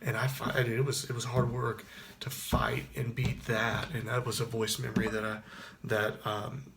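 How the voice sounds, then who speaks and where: A man's voice: level tone, moderate pace, gravelly, a bit bright. Rambling Texan man in his thirties. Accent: Texas. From Texas. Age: 30s. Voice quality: baritone. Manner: rambling, with frequent restarts and fillers. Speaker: actor and singer. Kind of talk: casual spoken reflection to camera.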